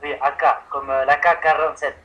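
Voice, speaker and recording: A man with a bright, fairly high voice talks over a telephone line. He sounds like a muddled customer, rambling and hesitating as he goes through an improvised prank call. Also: little pitch movement, brisk, a little hiss or hum. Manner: hesitant, rambling, playing confused. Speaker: prank caller posing as an insurance customer.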